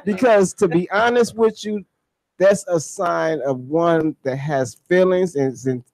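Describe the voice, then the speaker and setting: A man's voice: sits high, measured pace, a little mellow, rough recording. An American man hosting a casual radio chat, affirming and often repeating short phrases. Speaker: radio host.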